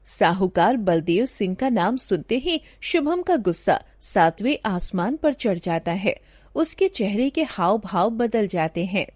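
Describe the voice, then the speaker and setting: A woman's voice: medium pitch, sing-song, unhurried, darkish tone, dry recording, clean studio sound. A woman giving scripted storytelling narration for a cartoon moral tale.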